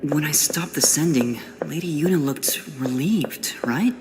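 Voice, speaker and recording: A woman's voice: low voice, measured pace, noisy background. A woman playing an earnest, formal and anxious young disciple in an audio drama. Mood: hushed, doubtful.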